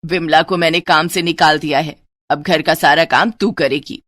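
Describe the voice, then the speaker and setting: Woman voicing a harsh, nagging cartoon mother-in-law, low-pitched. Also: fairly even intonation, normal speed, no echo, clean studio sound. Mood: bossy, taunting. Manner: sharp, nagging, taunting. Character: harsh scolding middle-aged mother-in-law, bossy and taunting. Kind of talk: Hindi moral-story cartoon acting.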